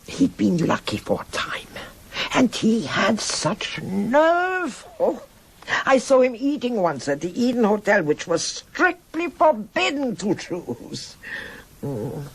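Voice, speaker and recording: A woman's voice: mid-range voice, very animated, slow pace, creaky, slightly rough, exaggerated voice. An actress playing a worldly, nostalgic old Berlin woman in a radio drama, theatrical and frank as she reminisces. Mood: confiding. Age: older. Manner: theatrical, rambling reminiscence with sighs and interjections.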